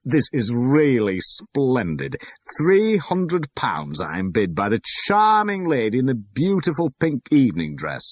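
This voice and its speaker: A man voicing a showy, jovial male auctioneer with grand, booming patter in an audiobook. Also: huge pitch swings, moderate pace, slightly rough, dry recording. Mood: triumphant.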